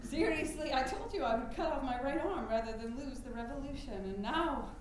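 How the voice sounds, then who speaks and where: A woman's voice: high voice, animated, brisk. A woman acting an indignant, bitter veteran suffragist in an emphatic, declamatory staged reading. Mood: disheartened. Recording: very echoey room, faint noise.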